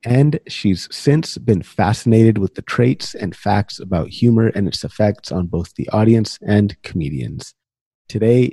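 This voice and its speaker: A man's voice: lowish voice, mellow, breathy edge, dry recording. A man hosting a podcast interview, reading an upbeat scripted introduction and then asking friendly questions. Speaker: podcast host.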